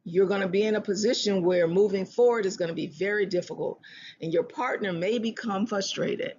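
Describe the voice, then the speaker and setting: A speaker giving earnest relationship advice in a self-help talk, often restarting phrases and slowing down to stress a point. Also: mid-range voice, darkish tone, breathy edge, muffled recording. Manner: earnest, repeats and restarts phrases, slows for emphasis.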